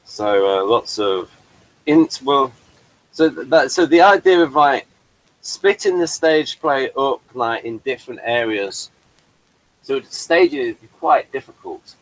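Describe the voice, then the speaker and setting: A man's voice: mid-range voice, animated, slow pace, low-quality recording. Rambling man full of fillers. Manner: rambling, many fillers and restarts. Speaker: playwright showing his work. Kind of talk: casual chat on a video call.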